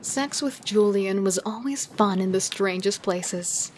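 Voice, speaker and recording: A woman acts out a confident female serial killer in a horror audio drama. She is sly, scheming and seductive, relishing the thrill as she persuades. Clear boat-like ambient noise sits behind her voice. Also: mid-range voice, measured pace, natural voice. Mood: cool narrating. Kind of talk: audio drama acting with first-person narration.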